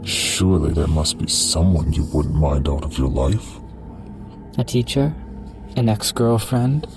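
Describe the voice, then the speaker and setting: A man playing a sly, polite monster who offers deadly bargains in a coaxing, smooth-talking horror audio drama delivery. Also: a bass voice, expressive, measured pace, exaggerated voice. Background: music.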